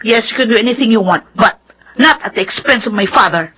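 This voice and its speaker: Radio drama daughter: high-pitched, forceful, grieving, combative. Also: female voice, animated, a little fast, breathy edge, full character voice. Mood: indignant, pleading. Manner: confrontational, emotional. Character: grieving, defiant daughter fiercely defending her dead father. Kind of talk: Filipino radio melodrama acting.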